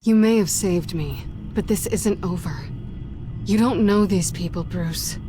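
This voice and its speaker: A cool game heroine played by a woman with a dark, mellow voice sitting slightly low. She is wary and pointed, with music behind her. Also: unhurried, lightly acted. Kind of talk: video game acting.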